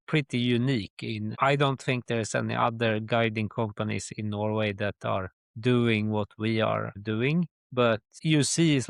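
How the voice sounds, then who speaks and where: A middle-aged man from Sweden with a Swedish accent, answering interview questions conversationally in a halting way, often dropping in "like". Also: medium pitch, vocal fry, no room sound, clean studio sound. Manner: halting, with very frequent "like". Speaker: guest, tour company chief executive.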